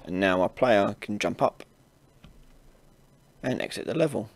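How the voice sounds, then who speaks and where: Man, fairly low pitch, casual tutorial host, step-by-step explaining, dry close-mic voice-over. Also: a little slow, no room sound. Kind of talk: informal screen-recorded software tutorial narration.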